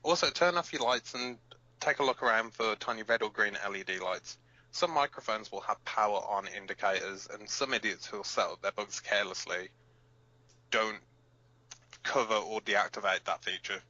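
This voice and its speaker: A man's voice: lowish voice, moderate pace, pushed and bright, lo-fi sound. A man playing a hot-headed, protective resistance fighter who hosts a rebel radio broadcast in an audio drama, blunt, emphatic and given to swearing. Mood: instructive.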